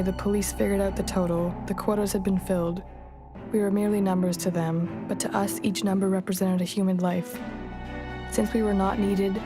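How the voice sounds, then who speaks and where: A woman reading a memoir as scripted first-person narration. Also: mid-range voice.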